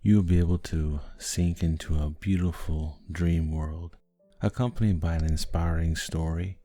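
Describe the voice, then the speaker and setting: A man with a low, mellow voice leads a guided sleep hypnosis over music. His delivery is soothing and gentle, with little rise or fall in tone. He leaves long pauses and softens at times toward a breathy near-whisper. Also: no echo. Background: background music.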